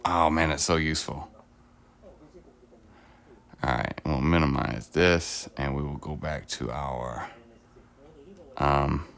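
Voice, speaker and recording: A middle-aged man hosting a casual livestream from his home table, rambling and self-deprecating, his voice deepened while getting over an illness, recorded on a phone. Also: gravelly, smooth. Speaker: streamer host. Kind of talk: casual livestream chat while painting miniatures. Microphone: phone on a clamp arm.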